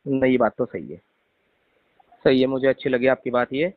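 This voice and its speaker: A man with a mid-pitched voice talks casually over the phone. He is agreeable and supportive, often doubling up short affirmations. The sound is dry and squeezed into a narrow telephone band. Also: moderate pace, dry recording. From Madhya Pradesh, India.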